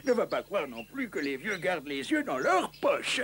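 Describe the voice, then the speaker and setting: A man's voice: sits high, very animated, moderate pace, background noise. A man voicing a wily old merchant traveller in a cartoon, wordy, pleading and ingratiating. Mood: grateful, sly.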